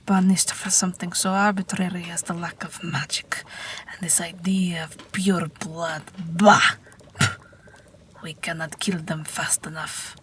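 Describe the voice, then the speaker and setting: A woman's voice: lowish voice, flat delivery, slight background noise. A woman voicing an ageless Russian night witch pilot, wry, tender and weary, in an intimate, whispery audio roleplay. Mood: bitter, then tender. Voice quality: breathy, whispery. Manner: gentle, dryly wry, unhurried.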